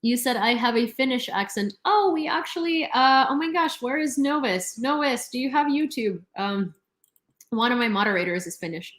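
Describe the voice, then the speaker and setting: A woman's voice: high voice, animated, moderate pace, a bit bright. A young woman hosting a live news stream, chatty and sarcastic, with many fillers. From Ukraine. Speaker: livestream news host. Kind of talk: casual live commentary. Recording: roomy echo.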